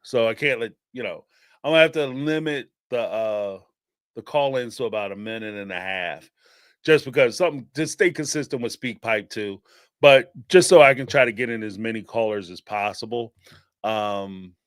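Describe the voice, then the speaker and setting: A man's voice: mid-range voice, moderate pace, dry recording, clean studio sound. A man with a Philadelphia accent hosting a live-stream show, talking casually with many fillers and frequent laughs. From Northeastern United States. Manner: animated and rambling, laughs often, many fillers. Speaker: live-stream show host taking call-ins.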